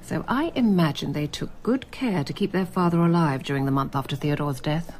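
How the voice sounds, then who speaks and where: A woman's voice: deep voice, a little fast, a little mellow, close to an everyday voice. An actress playing a shrewd, cool, probing female journalist in a radio drama. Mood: insinuating. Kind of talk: British radio drama acting.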